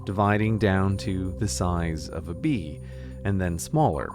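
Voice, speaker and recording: Male narrator, low register, awed grandeur in a scripted read, over clear music. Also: unhurried. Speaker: narrator of a played video.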